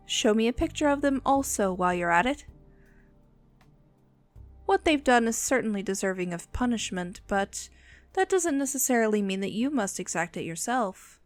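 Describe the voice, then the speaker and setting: A woman voicing a bossy, world-weary but protective female vampire in an ASMR roleplay, speaking in a haughty, condescending, deliberate way. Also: a little high. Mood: protective, commanding. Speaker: voice actor in an audio roleplay.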